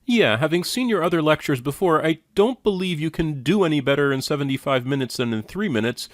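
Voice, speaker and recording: Male commentator, fairly high-pitched, sardonic, challenging, critical rebuttal delivery, very dry close sound. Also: expressive, fairly quick, no room sound. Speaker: commentator reviewing and rebutting a lecture.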